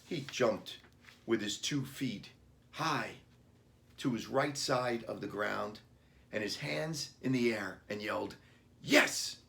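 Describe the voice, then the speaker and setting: Slow, deliberate man reading a storybook. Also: lowish voice, vocal fry, a bit bright. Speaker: author reading his children's book. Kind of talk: children's storybook narration.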